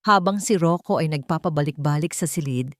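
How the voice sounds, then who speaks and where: A woman's voice: low-pitched, fairly quick, dry recording, studio quality. A woman with a Filipino accent, narrating a dramatic scripted audiobook story.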